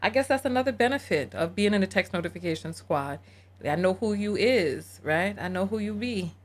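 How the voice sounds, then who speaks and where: A woman hosting a live call-in show, lively and chatty, teasing her callers. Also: mid-range voice, brisk.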